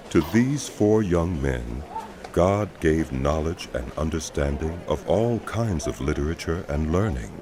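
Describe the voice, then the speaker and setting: Very deep solemn male Bible narrator. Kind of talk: scripted dramatic Bible narration.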